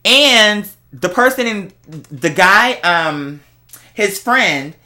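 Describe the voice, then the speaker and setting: A man's voice: sits high, expressive, very slow, sharp tone. A young American man giving a casual, rambling monologue in a sassy, campy manner, peppered with slang. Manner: sassy, campy slang, often addressing listener as girl. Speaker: online show host.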